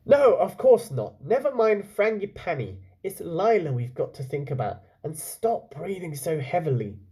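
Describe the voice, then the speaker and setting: A man voicing a sly, bossy boy schemer in a children's story, brisk and persuasive as he gives orders. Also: fairly high pitch, sing-song, warm tone, voice pushed up. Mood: brisk, scolding.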